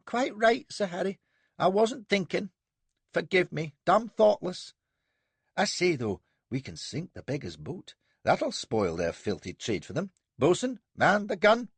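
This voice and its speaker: A man voicing an eager, hot-tempered young naval officer who barks orders in a high, cracking voice. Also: unhurried, slightly rough, dry recording, heavily acted. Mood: resolute. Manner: excitable, shrill, barking orders.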